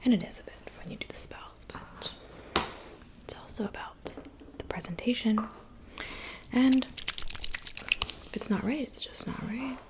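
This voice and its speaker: A woman playing a gentle, attentive witch in an ASMR roleplay, whispering breathily and unhurriedly with soft pauses. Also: on the high side, pitched above the performer's own voice. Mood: confiding, gentle. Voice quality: breathy whisper with creaky edges. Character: gentle, attentive old witch who looks young. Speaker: ASMR performer.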